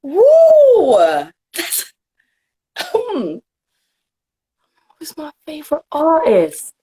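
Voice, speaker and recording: A woman's voice: extremely high pitch, wildly swooping pitch, extremely slow, warm tone. A young woman from Jamaica, a guest in a casual interview, answering earnestly with frequent laughs and restarts. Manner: earnest and giggly, trails off and restarts.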